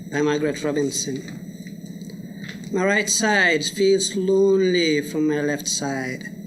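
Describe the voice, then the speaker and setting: A young adult man reading poetry aloud at a live event. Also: a little high. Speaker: poetry reader. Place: indoor public lecture event. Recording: noisy live room recording.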